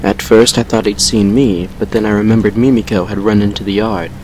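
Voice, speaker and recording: A man playing a brooding vampire who recounts a painful past with measured regret in an audio drama. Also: lowish voice, steady tone, a little mellow, slight background noise, close to an everyday voice, rough recording. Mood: grim, regretful. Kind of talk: fan fiction audio drama monologue.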